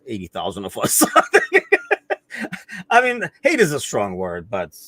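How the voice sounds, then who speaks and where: A man's voice: mid-range voice, wildly swooping pitch, slow, bright, forceful tone, no room sound. A man hosting a live football podcast, talking casually with lots of fillers and frequent laughs. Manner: rambling, many fillers, laughs often. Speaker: podcast host.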